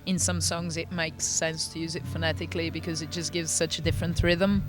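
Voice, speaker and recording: A woman from Bulgaria, a musician answering interview questions in a thoughtful, measured way. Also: low-pitched, little pitch movement. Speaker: musician guest. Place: festival backstage. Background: loud music.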